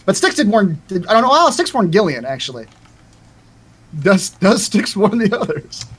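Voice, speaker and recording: A man's voice: high-pitched, big pitch swings, moderate pace, fairly bright tone, husky. A man acting as game master, narrating scenes and rulings in a tabletop roleplaying game.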